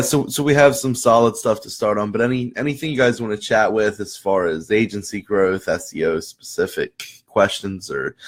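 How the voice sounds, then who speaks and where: Hoarse low-voiced man hosting a live chat. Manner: rambling, many restarts and fillers. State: hoarse after losing his voice. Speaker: host of a live marketing chat. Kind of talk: casual live-stream talk to viewers.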